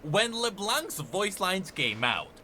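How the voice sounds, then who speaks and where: A man giving casual, conversational commentary as a game lore video creator, explaining things in an animated way. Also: high-pitched, takes it slowly, slight background noise.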